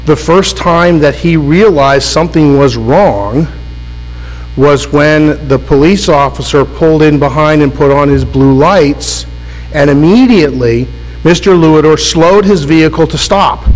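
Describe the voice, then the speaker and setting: A man with a cold, serving as defense attorney and arguing an appeal in formal, deliberate speech that sometimes stalls under questioning. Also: fairly high pitch. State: has a cold. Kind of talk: appellate oral argument. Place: courtroom. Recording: roomy courtroom with faint mains hum.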